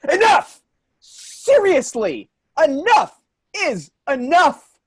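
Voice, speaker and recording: A man's voice: extremely high pitch, very animated, very slow, no room sound, studio quality, full character voice. Actor playing an earnest, fed-up office man. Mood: furious, fed up. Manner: emotional, swinging from shouting to quiet grief. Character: David, fed-up grieving male office worker, earnest and sardonic. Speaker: actor in a staged reading. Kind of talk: dramatic play reading.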